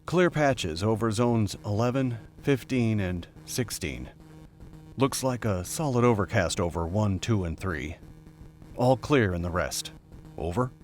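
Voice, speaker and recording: A man's voice: fairly low pitch, expressive, measured pace, soft background music, pitched below the performer's own voice. A man voicing a tired, earnest air force major making procedural radio reports in an audiobook story. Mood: weary, insistent. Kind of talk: scripted audiobook character dialogue.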